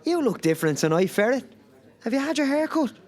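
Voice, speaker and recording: A man's voice: very high voice, sing-song, brisk, darkish tone. A man playing a cheerful, joking pub barman in a comic radio play. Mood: cheerful, teasing.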